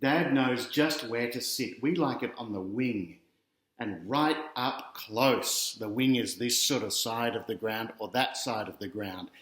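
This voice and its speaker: A man's voice: medium pitch, measured pace, a bit bright, reverberant. An Australian man reading a children's picture book aloud, adding chatty asides and talking directly to young listeners.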